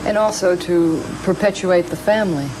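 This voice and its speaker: A woman's voice: on the low side, moderate pace, noisy background. A woman working as a television news reporter, interviewing and narrating in a broadcast style.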